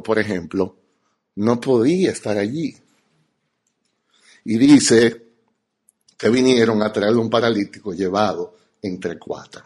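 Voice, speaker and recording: A man with a Dominican Spanish accent preaching and teaching as a pastor, emphatic, with rhetorical questions and deliberate pauses. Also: on the low side, a little mellow, breathy edge. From Dominican Republic. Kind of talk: teaching sermon to an audience.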